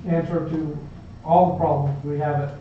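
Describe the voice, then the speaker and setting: A man with a noticeable stutter presents to a town board in a fairly high voice. He is self-deprecating and cracks jokes between his points. He sounds far off in an echoey room, and the recording is dull. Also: little pitch movement, normal speed, a little hiss or hum. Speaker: state aviation engineer. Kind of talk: public meeting presentation. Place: town office meeting room. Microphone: table microphone.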